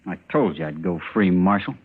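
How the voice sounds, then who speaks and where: An actor playing a smug, insolent cavalry private with a husky voice in a 1950s radio western. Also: male voice, low-pitched, exaggerated voice. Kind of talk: 1950s radio drama acting. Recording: dull vintage radio recording.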